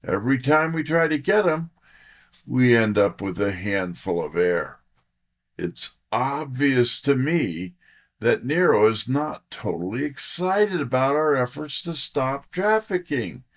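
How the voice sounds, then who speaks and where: A wisecracking private detective's sidekick, voiced by a man, tells his story in hard-boiled first person. His pitch swings widely while the delivery stays unhurried. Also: sing-song. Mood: skeptical. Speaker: detective's assistant and narrator.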